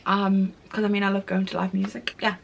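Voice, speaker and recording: A woman, chatting casually to camera about her monthly favourites, her voice croaky and nasal from a cold, gushing and repeating "really, really". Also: medium pitch, level tone, a little fast, a little hiss or hum. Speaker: lifestyle vlogger.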